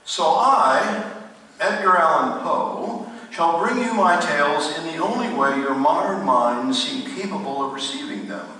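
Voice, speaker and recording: A morbid, theatrical ghost of a male writer telling dark tales in a radio play. His voice is bright and swings widely in pitch, with grand, formal phrasing and dramatic pauses. It comes from a distance in a very reverberant hall. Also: expressive. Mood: solemn, ominous. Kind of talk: theatrical radio play narration to a live listener. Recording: distant echoey hall, faint noise.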